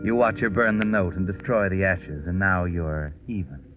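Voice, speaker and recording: Over prominent music on a dull 1940s radio recording, an actor plays an all-knowing American narrator. His low voice is sardonic, wry and needling. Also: fairly even intonation, normal speed, close to an everyday voice. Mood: wry, taunting. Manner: wry, needling, second-person address. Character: sardonic omniscient narrator taunting the protagonist.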